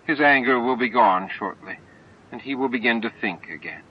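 A man voicing a gentle, supportive female insect-like alien in a dramatized audiobook, speaking with calm reassurance. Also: medium pitch, moderate pace, a little hiss or hum, pitched above the performer's own voice, close to an everyday voice. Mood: amused reassurance. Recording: dull lo-fi audiobook tape with music.

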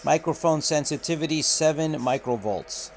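Man talking slowly with many fillers. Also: on the high side, a little hiss or hum. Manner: unhurried, many filler pauses. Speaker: radio reviewer. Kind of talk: unboxing walkthrough. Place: indoor room at a table.

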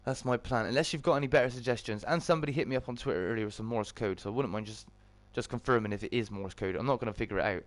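A British man hosts a casual livestream in a mid-range voice with a slight morning croak. He talks to his viewers like mates, with chummy greetings and informal, off-the-cuff chat. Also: mid-range voice, rapid-fire, few pauses. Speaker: live streamer and host.

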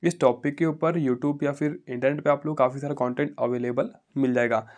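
A man's voice: medium pitch, fairly even intonation, moderate pace, darkish tone. A man giving a casual phone tutorial voice-over, repeating himself and often checking in with listeners. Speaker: tech YouTuber.

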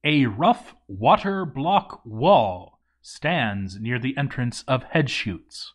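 A man giving an expressive scripted reading of a game story, with wry, deadpan asides. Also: medium pitch, slow pace. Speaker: let's-read narrator.

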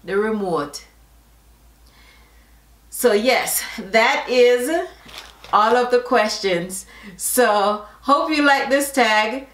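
A middle-aged woman from Jamaica with a Jamaican accent, reading a word list and questions aloud in a casual vlog, slow and deliberate, slipping into patois asides. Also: a little high, fairly bright tone, reverberant. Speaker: vlogger. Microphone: camera facing her at close range.

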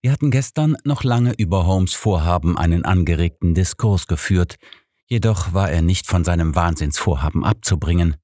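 A man's voice: sits low, animated, moderate pace, mellow, dry recording, clean studio sound. A man reading scripted narration of a detective story as an audiobook narrator.

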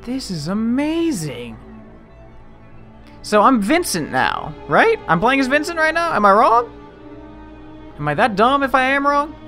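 Excitable man commentating, wildly swinging pitch. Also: a little slow, long pauses, faint music behind. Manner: excitable, self-deprecating. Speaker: video game let's player. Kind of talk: casual gameplay commentary.

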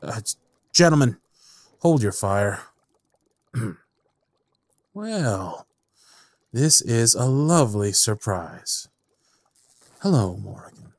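A man playing a menacing evil scientist in an audio drama, taunting with mock politeness in a rough, husky voice. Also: lowish voice, big pitch swings, slow pace, long pauses, reverberant, exaggerated voice. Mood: mockingly amused. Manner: mockingly polite, theatrical, taunting. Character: smug, menacing male evil scientist villain. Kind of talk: audio roleplay drama acting.

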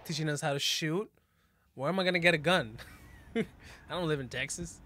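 A man's voice: a little high, normal speed, slight background noise. A young man hosting a reaction video, joking and yelping at the scares, talking into a headset mic in a small bedroom setup. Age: young adult. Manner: jokey and animated, bursts into yelps and laughs. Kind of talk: casual commentary reacting to a horror video. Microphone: headset with desk mic.

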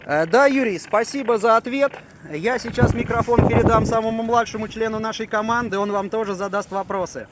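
A middle-aged man with a very high-pitched voice works as a radio operator. He speaks in courteous, deliberate procedure, outdoors with clear noise around him. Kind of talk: formal radio exchange, asking questions. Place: outdoors on a city rooftop.

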